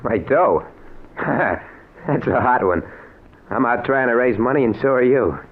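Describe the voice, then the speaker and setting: An actor playing a desperate, earnest working-class husband in an old-time radio drama, plain-spoken and emotional. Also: male voice, mid-range voice, unhurried. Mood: startled, resigned. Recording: narrow, noisy vintage radio recording.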